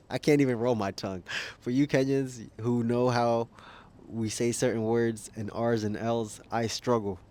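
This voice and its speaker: A man's voice: mid-range voice, steady tone, a little slow, a little mellow. A man making a casual opinion vlog as a YouTuber, rambling, thinking aloud and correcting himself, with frequent chuckles. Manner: chuckles often while talking.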